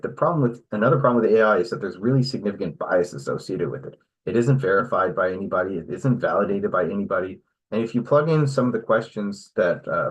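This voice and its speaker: A man presenting and moderating an online webinar, explaining in a measured way and often checking in with "right?". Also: lowish voice, slightly rough, poor audio.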